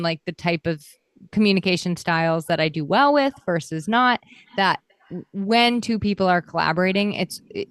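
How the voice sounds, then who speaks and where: A middle-aged woman with a lowish voice talks through her answers in a chatty, reflective way, often laughing and circling back with fillers. The recording is close and dry with no room sound. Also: clear, resonant tone, no echo. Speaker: guest, copywriter. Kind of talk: conversational interview.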